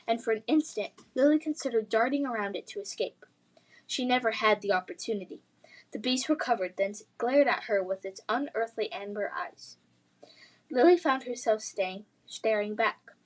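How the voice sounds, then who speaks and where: High-voiced woman narrating a fantasy story. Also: normal speed, low-quality recording. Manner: expressive, suspenseful delivery. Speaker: read-aloud narrator. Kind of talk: dramatic children's fantasy narration.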